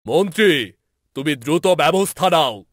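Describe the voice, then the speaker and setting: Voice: mid-range voice, animated, measured pace, dry recording, clean studio sound, pitched above the performer's own voice. A man playing a worried, doting king and father in a fairy-tale cartoon. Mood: urgent.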